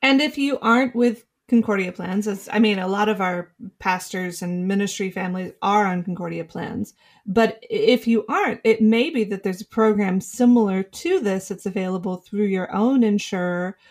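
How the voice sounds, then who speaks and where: A woman co-hosting a casual podcast who explains things in a measured way. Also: mid-range voice. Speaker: podcast co-host. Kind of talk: casual chat.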